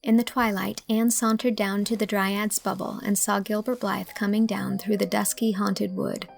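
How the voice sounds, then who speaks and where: Female storyteller for novel narration. Medium-pitched, slightly gravelly, measured and expressive, over soft music. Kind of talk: scripted audiobook narration with acted dialogue.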